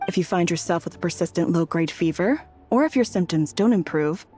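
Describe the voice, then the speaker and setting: Actress as a female physician, lowish pitch, calm, courteous, reassuring, video game dialogue, soft music behind. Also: brisk, lightly acted.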